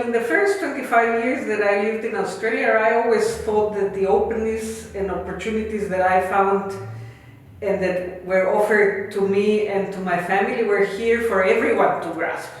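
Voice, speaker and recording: A woman's voice: mid-range voice, moderate pace, a little hiss or hum. A woman in her fifties from Guatemala with a Guatemalan Spanish accent, earnestly telling a prepared personal story in formal phrasing as an interview guest. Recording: echoey room.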